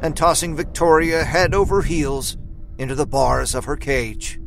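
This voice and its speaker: Male narrator for audio fiction; somewhat high voice, scripted storytelling, faint music underneath. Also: measured pace, vocal fry, a bit bright.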